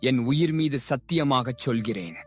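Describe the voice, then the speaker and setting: A man playing a kind, poor young village goatherd, earnest and emotional, in an animated moral story. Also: medium pitch, normal speed, faint music behind, lightly acted. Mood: sincere.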